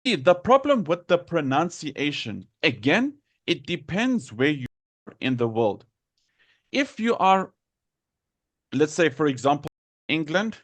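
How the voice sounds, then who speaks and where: The South African man at the front of an English class, sounding words out deliberately in a close, dry recording. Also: a little high, dry recording. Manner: deliberate, repeats and enunciates words for learners. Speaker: English teacher. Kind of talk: live lesson explaining pronunciation to students.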